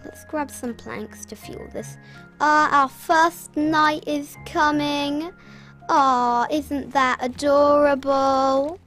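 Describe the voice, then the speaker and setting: A woman's voice: high-pitched, steady tone, slow pace, fairly bright tone, faint music behind. A young British woman chatting casually through her gameplay, rambling with self-mocking asides. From Britain. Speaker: gaming channel host.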